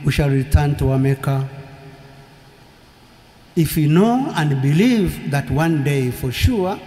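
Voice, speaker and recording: A middle-aged male priest giving a funeral homily from a lectern in a large cathedral, in a slow, measured preaching manner with long pauses. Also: fairly high pitch, warm tone. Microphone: lectern microphone. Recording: echoey cathedral from far off.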